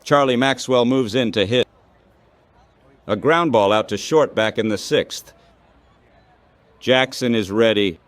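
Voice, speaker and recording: A man's voice: medium pitch, a little slow, pauses often, dry recording. A synthetic text-to-speech man's voice calling baseball play-by-play in a radio style, in short clipped phrases.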